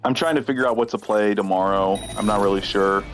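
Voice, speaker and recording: A man's voice: on the low side, fast, background noise. A man chatting casually as a livestreamer, rambling and self-deprecating, with an everyday conversational delivery.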